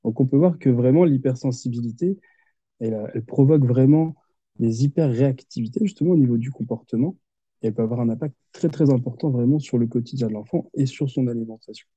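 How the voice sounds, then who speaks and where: Man, 30s, measured explainer, dark husky voice, dry muffled call audio. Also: medium pitch, dry recording. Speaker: occupational therapist guest. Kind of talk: explanatory interview talk. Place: home office with window. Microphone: headset on video call.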